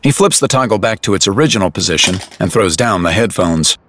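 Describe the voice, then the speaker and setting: A man's voice: lowish voice, lively intonation, a little fast, background noise. A man delivering scripted dramatic narration for an audio drama.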